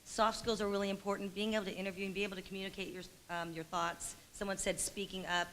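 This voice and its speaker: A woman answering a panel question as an industry recruiter, in a steady, encouraging way. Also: mid-range voice, quick pace, a little hiss or hum.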